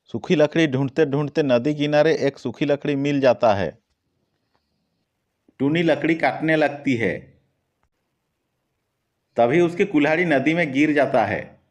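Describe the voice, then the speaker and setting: A man's voice: a little high, a little slow, long pauses. Man narrating a children's cartoon story.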